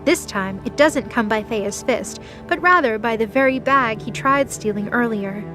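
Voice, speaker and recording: Woman reading story narration, voice on the high side, music playing clearly behind her. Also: normal speed. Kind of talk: scripted light novel narration.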